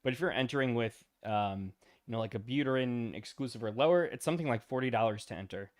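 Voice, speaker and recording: A man's voice: medium pitch, fast, a little mellow. Tired man hosting a casual livestream. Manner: loose and rambling, many ums and uhs, greets chat by name. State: tired and losing his voice. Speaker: livestream host.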